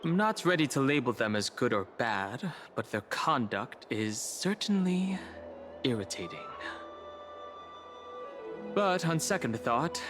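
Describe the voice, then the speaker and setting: An actor playing a cautious, loyal young traveling companion in a video game, speaking in a measured, thoughtful way. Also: male voice, mid-range voice, close to an everyday voice. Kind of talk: video game voice acting, conversational dialogue. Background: background music.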